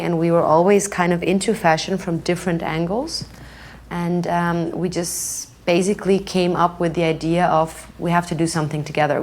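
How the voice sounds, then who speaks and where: A woman's voice: lowish voice, slight background noise. A young woman from Serbia, co-founder of a fashion label, talking casually and hesitantly with many fillers. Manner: relaxed, hesitant with frequent fillers. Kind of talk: casual conversational interview.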